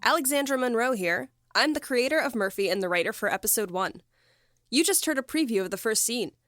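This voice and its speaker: A woman's voice: on the high side, animated, a little fast, fairly bright tone, no echo. A woman speaking as an audio drama creator, giving a scripted announcement to listeners.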